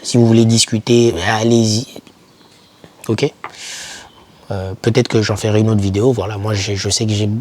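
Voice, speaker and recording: A man's voice: fairly low pitch, little pitch movement, moderate pace, long pauses, darkish tone. A young man giving informal motivational advice to camera, speaking emphatically and repeating key words to encourage the listener. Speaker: YouTuber advising foreign students.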